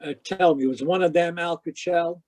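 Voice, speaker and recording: Man acting a wry, high-voiced private eye. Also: normal speed, no echo, close to an everyday voice. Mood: questioning, wry. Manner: wry, deadpan. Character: wry hard-boiled private detective, Sam Spade. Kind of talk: old-time radio detective drama and narration. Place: live online performance over video call.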